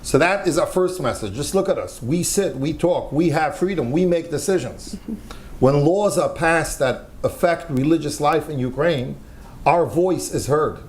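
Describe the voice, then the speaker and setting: A man's voice: fairly high pitch, expressive, unhurried, slight background noise. An older American man from the United States, speaking earnestly on a panel discussion as a religious community leader, repeating key phrases for emphasis.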